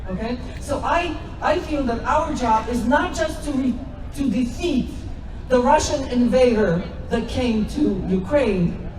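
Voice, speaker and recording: A woman's voice: sits high, a little slow. Impassioned woman speaking at a crowded rally. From Poland. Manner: impassioned, emphatic, sarcastic. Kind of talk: public rally speech. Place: outdoor rally. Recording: roomy, distant, loud crowd.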